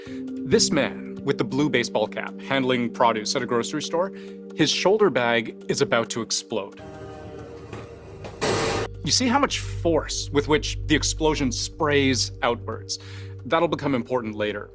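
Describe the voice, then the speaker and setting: A man's voice: medium pitch, lively intonation. Male news explainer over a music bed. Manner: deliberate, emphatic phrasing with rhetorical questions. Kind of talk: scripted explanatory news narration. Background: background music bed.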